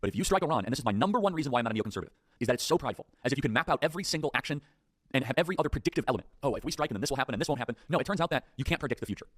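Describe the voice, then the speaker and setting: Rapid-fire man delivering a political monologue. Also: mid-range voice, expressive, a bit bright, no echo, studio quality. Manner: rapid, emphatic, rhetorical questions. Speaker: political talk show host.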